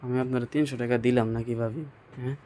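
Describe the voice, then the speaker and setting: A man's voice: medium pitch, steady tone, fast talker, dark tone, lightly acted. A young man playing a pestering, pleading younger brother-in-law in an amateur skit, with a rural Bangladeshi Bengali accent. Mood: complaining. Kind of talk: amateur skit dialogue, colloquial.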